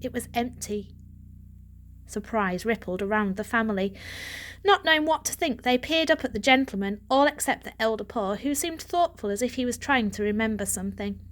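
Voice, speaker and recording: A woman narrating a children's story in an expressive, dramatic reading. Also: on the high side, normal speed. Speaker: audiobook reader.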